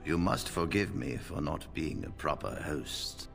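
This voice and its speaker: An old fantasy game wizard with an extremely low, heavily creaking voice. He speaks in a measured, dryly wry way, slow and dignified, with music playing clearly underneath. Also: animated, exaggerated voice. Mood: fatherly. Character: aged male First Enchanter mage, wry and dignified. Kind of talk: video game dialogue.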